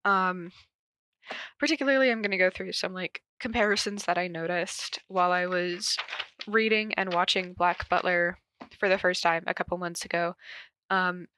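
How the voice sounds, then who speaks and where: A woman hosts a casual podcast on her own, drifting from point to point with plenty of filler words. A cold has left her voice stuffy and nasal, yet it still carries a bright, pushed-forward tone. The sound is close and dry, with no room echo. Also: on the low side, fairly even intonation, moderate pace, clean tone. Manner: rambling, chatty, frequent ums and likes. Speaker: podcast host. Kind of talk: casual solo podcast chat.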